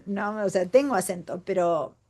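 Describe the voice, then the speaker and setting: A woman's voice: medium pitch, normal speed, darkish tone. A woman from Argentina with a Buenos Aires accent, chatting casually and laughing often. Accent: Buenos Aires Spanish. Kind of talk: casual conversation.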